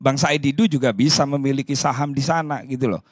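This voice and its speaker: A man's voice: medium pitch, fairly even intonation, a little fast, dry recording. Earnest man, dry close voice. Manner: earnest, rebutting, repeats phrases. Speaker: spokesman for local residents. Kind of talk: heated debate.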